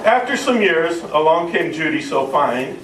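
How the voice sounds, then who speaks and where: A man's voice: fairly high pitch, animated, moderate pace. A middle-aged man speaking informally and reading humorous verse to a family gathering, with a joking, irreverent manner. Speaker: family speaker introducing and reading a tribute poem. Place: room at a family gathering, audience behind. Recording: roomy, distant, noisy crowd recording.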